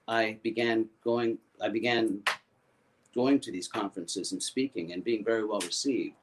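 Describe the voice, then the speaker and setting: An older American man telling a personal story in a halting way, often repeating and restarting his words. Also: lowish voice, a little mellow, low-quality recording. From United States. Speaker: foundation founder.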